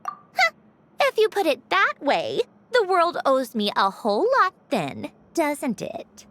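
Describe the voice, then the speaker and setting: A haughty young villainess from a video game, fairly high in pitch with sweeping, theatrical intonation. She sounds dry, sardonic and condescending, over faint background noise. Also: huge pitch swings, slow pace, fairly bright tone, heavily acted. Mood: wry, proud. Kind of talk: video game character dialogue.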